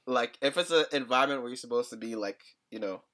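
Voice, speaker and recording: A man's voice: mid-range voice, fast, pushed and bright. A young man co-hosting a podcast in casual chat, talking hesitantly with lots of "like" and small laughs. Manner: hesitant, many "like" fillers, chuckles. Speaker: podcast co-host.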